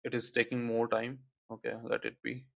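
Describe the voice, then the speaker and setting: A man whose first language is Hindi, walking through a software tutorial on screen, peppering his speech with "okay, like" fillers. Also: medium pitch, little pitch movement, normal speed, creaky, dry recording. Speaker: tutorial instructor.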